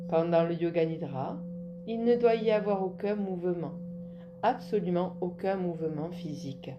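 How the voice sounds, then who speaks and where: A woman leading a guided yoga nidra relaxation as an instructor, giving calm, measured instructions. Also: medium pitch, dull audio, noisy background.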